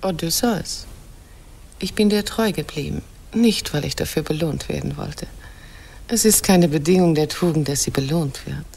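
A woman's voice: low voice, moderate pace, gravelly, darkish tone, husky, exaggerated voice. A woman playing a proud, faithful but hurt queen in a radio play, solemn and insistent. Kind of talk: classic German radio play acting.

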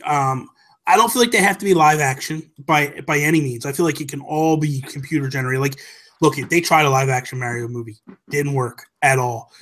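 A man's voice: on the high side, fairly quick, fairly bright tone. A middle-aged man chatting casually as a podcast co-host, making his points emphatically and repeating them for stress. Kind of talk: casual opinionated chat. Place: small home room. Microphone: desk microphone, headset headphones.

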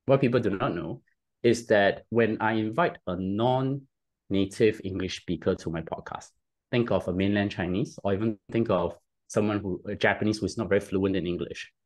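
A man's voice: on the low side, brisk, darkish tone. A man in his thirties with a Singaporean accent, a podcast guest explaining at length on a video call with a headset, often restarting and adding "right?". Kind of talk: casual interview talk. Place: home room, video call.

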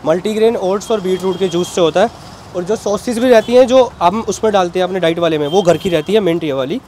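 Young man, high voice, fast talker, polite detailed explainer, outdoor stall, lapel mic, faint street noise. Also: darkish tone. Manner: polite, explains menu items and protein counts in detail. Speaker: street food stall owner. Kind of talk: casual explaining to a food vlogger.

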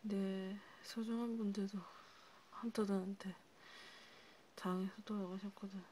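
Woman doing a soft vampire healer asmr roleplay, dark mellow voice, hushed n slow w pauses, bit of hiss. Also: mid-range voice, flat delivery, lightly acted. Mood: hushed, concerned. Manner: hushed, unhurried, murmuring with long pauses. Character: caring female vampire healer treating a wounded vampire.

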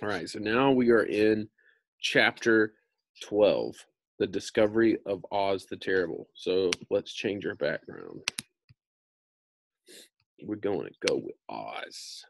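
A man's voice: fairly low pitch, animated, takes it slowly, creaky, pauses often. An American man in his thirties reading a book aloud in an informal, slangy way with casual asides. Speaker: read-aloud storyteller.

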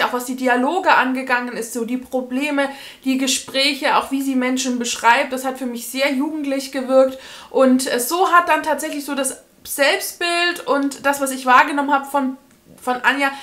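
High-voiced woman reviewing books. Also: fairly bright tone. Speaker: book reviewer, video blogger. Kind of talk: casual spoken book review to camera. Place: indoors, small room with bookshelves.

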